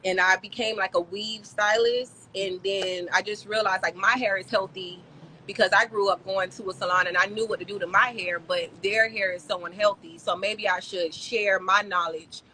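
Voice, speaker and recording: A woman in her thirties, from the Bahamas and raised in South Florida, telling her story as a podcast guest in a relaxed, chatty way with many "um"s and "like"s. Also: mid-range voice, moderate pace, a little hiss or hum, poor audio. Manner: warm, chatty storytelling with frequent "um" and "like". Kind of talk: casual interview chat.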